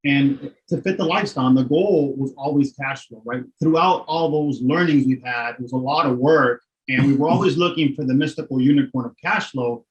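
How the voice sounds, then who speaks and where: A man's voice: medium pitch, a little fast, hardly any pauses, highs cut off. A middle-aged man from Miami, a real estate investor speaking as an interview guest, candid and matter-of-fact, often adding "right?". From Miami, Florida. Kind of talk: casual interview talk.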